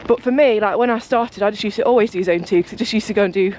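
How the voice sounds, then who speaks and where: A young woman, chatting casually to her camera as a cycling vlogger, rambling and talkative, her voice a bit croaky from just waking up. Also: medium pitch, very fast, a little mellow, a little hiss or hum. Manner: chatty, rambling, talks a lot. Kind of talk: casual vlog chat to camera. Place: home, then outdoors on a walk.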